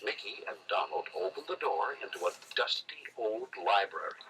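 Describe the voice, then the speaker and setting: A man's voice: fairly low pitch, moderate pace, a little hiss or hum, low-quality recording. A man narrating a children's read-along story in a dramatic, theatrical, scripted style. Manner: theatrical, animated. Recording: music behind, played read-along recording.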